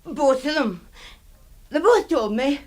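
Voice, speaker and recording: An actress playing an insolent, sulky housemaid who answers back brazenly in a radio mystery. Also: female voice, high voice, lively intonation, slow pace. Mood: sullen, defiant. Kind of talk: radio drama acting.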